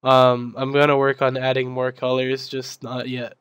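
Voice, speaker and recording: A young man, his voice still weak from being sick, walks through a software tutorial in a casual way, full of ums and restarts. His delivery stays very flat and monotone, and the sound is smooth and clear. The recording is dry, close, and without room echo. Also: medium pitch, moderate pace. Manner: casual, filler-heavy explaining with restarts. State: recovering from losing his voice. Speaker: server admin giving a tutorial.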